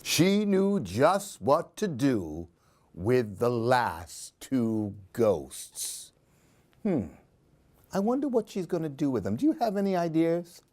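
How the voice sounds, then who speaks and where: A man's voice: medium pitch, very animated, slow pace, darkish tone. A man hosting a story time, reading Halloween picture books aloud in a theatrical way, with questions and asides for young listeners. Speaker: storyteller and host of a children's book show. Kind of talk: expressive read-aloud of children's Halloween stories.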